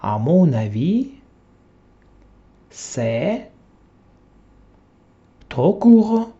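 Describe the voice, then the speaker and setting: Slow, mellow man reading phrase by phrase. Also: on the high side, very animated. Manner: reads each short phrase separately with long pauses. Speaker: language teacher reading a textbook dialogue. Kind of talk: slow dictation-style reading for repetition. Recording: dull lo-fi classroom recording.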